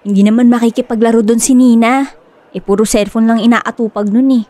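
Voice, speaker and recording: Woman acting a high-voiced, doting young dog owner. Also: fairly even intonation, brisk, mellow, natural voice. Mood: impatient, fretting. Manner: gushing, chatty, stubborn. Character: excitable, doting young woman devoted to her pet dog. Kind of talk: Filipino radio drama acting.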